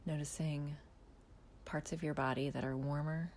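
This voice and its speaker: A woman calmly guiding a body scan meditation, speaking slowly and softly with long pauses and a half-whispered delivery. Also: low voice, a little hiss or hum. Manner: slow, soft, calm instructions with long pauses, often half-whispered. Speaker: mindfulness guide.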